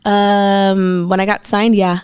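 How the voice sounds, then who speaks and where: A woman guest on a podcast, talking about her singing in a relaxed, chatty voice. She fills her sentences with "like" and sounds easygoing. The recording is close and dry. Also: medium pitch, slow, clear, resonant tone, dry recording. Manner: relaxed, chatty, uses lots of "like". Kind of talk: casual interview chat.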